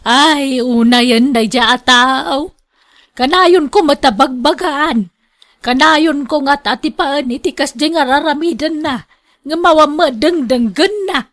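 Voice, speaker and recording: A woman acts out an exasperated older mother in a radio drama. Her voice is high, and she talks in a weary, complaining way, as someone tired of scolding a wayward son. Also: a little slow, fairly bright tone.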